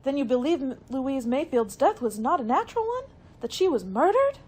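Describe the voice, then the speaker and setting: Woman acting devoted secretary, radio play, high-pitched, very lively intonation, earnest, emotive. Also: brisk. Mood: shocked, earnest. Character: eager, concerned young female secretary. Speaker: actor in an amateur radio play. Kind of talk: radio mystery drama acting.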